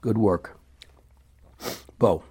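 Middle-aged male, low and husky, sincere and unpolished, halting delivery of a company boss speaking plainly. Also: a little mellow. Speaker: business owner reading staff emails and reflecting. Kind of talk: sincere personal remarks.

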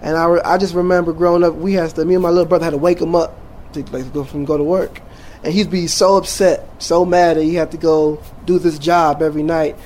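A man in his forties from Jamaica, a teacher, talking in a casual interview, relaxed and rambling with many fillers and restarts. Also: fairly high pitch, quick pace, slight background noise.

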